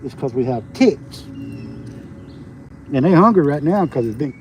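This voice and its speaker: A man in his fifties with a Southern US accent, rambling in a folksy, halting way during a casual outdoor livestream. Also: on the high side, animated, rough recording. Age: 50s. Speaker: homesteading livestream host, logger. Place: outdoors on a rural roadside. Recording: outdoor noise with birds. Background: birds and rural outdoor ambience.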